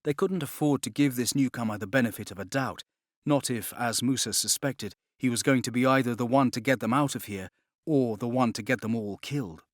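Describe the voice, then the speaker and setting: A man reading a novel as scripted dramatic narration, in a measured, expressive storytelling manner. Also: medium pitch, dry recording, clean studio sound. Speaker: audiobook narrator.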